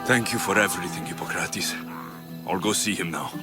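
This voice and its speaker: An actor playing a blunt, determined young Spartan mercenary in a video game, direct, dry and impatient. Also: male voice, low voice, a little fast, lightly acted. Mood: earnest. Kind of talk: video game cutscene acting. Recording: music under the voice.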